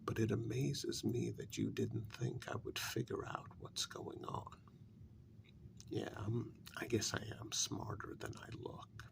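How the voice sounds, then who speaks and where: A man playing a bitter, sarcastic kidnapper in a soft-spoken ASMR role-play, halting and half-whispered, with a gravelly voice. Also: lowish voice, very animated, heavily acted, low-quality recording. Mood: smug, accusing. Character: bitter, sarcastic male kidnapper confronting a demon.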